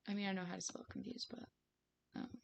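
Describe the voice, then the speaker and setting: A woman with a raspy voice streaming as she writes, thinking aloud hesitantly with many fillers and soft laughs. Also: medium pitch, little pitch movement, fast. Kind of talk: casual live-stream musing while writing. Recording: faint hiss behind the voice.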